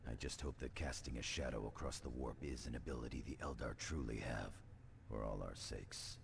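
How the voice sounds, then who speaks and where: An actor playing a brooding, suspicious veteran scout sergeant with terse, ominous delivery in a video game. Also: male voice, very deep voice, normal speed. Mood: brooding, wary. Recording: game audio with clear background noise.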